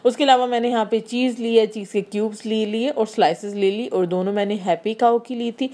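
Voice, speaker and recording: A woman's voice: fairly high pitch, steady tone, brisk, mellow. A woman from Pakistan with a Karachi Urdu accent, chatting casually through a grocery haul voice-over and explaining each item with many fillers. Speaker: vlogger.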